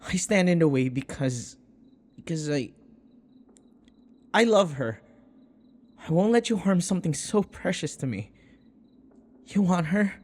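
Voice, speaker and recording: A man playing a devoted, stubbornly protective servant in an audio roleplay, speaking in earnest, halting pleas. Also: sits high, expressive, a little mellow. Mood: devoted, defiant. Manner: earnest pleading, halting with repeated words. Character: devoted young male servant, submissive, stubbornly protective of vampire mistress.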